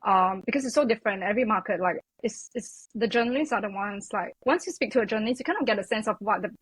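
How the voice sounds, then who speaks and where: A woman talks casually as a podcast guest, her voice slightly husky. She chats loosely, sprinkling in "like" and "you know". The sound is dry and close. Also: medium pitch, quick pace, fairly bright tone, no echo, poor audio. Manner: chatty, many fillers like "like" and "you know". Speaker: podcast guest, communications consultant. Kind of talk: casual interview chat.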